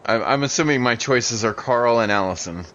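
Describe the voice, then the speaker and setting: A man's voice: mid-range voice, normal speed, fairly bright tone, muffled recording, a little hiss or hum. A man playing a tabletop role-playing game, chatting casually and hesitantly as he thinks aloud. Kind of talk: casual improvised play and chat.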